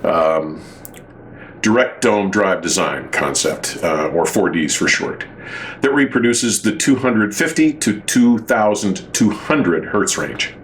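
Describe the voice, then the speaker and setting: Male reviewer, low register, formal and measured delivery, semi-scripted monologue, audible room noise behind the voice. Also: expressive. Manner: formal, wordy, measured phrasing. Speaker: audio reviewer and show host.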